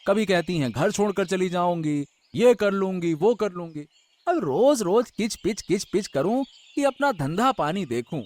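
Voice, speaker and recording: A man's voice: high-pitched, lively intonation, normal speed, a little mellow. Guy doing young fish seller in a village cartoon, chatty, folksy, stubborn, voice goes up and down loads. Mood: exasperated. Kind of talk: animated Hindi moral-story voice acting.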